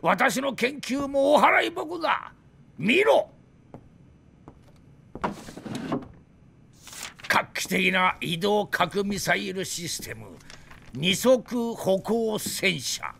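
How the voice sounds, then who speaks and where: Drunken, gravelly, wildly animated old weapons designer. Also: male voice, high voice, slow, slight background noise, full character voice. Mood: indignant ranting. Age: older. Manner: theatrical, grandiose, indignant ranting. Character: drunk, bitter old Soviet weapons designer, boastful and ranting. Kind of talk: dramatic video game cutscene acting.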